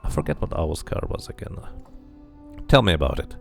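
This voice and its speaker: Deep, gravelly streamer thinking aloud. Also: male voice, expressive, brisk, soft background music. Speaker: game streamer. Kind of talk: casual let's play commentary.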